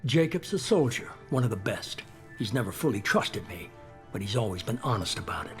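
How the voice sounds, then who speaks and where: An actor playing a composed, secretive spymaster who speaks in a measured way. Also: male voice, lowish voice, big pitch swings, a bit bright, soft background music.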